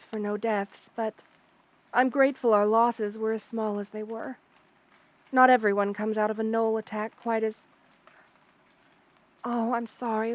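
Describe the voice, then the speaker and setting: A woman playing a loving, protective spider queen in an ASMR roleplay, speaking tenderly and with gentle regal poise. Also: on the high side. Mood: gentle concern. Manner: tender, nurturing, gently regal, addresses listener with endearments. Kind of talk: ASMR roleplay, intimate character acting.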